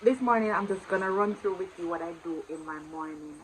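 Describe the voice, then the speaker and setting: Jamaican woman in her twenties, lowish voice, chatty vlog host, faint background hiss. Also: brisk, low-quality recording. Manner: chatty and warm with her viewers, swings loud when playing with her baby. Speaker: vlogger. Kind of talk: casual vlog talk to camera. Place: home in the morning, indoors.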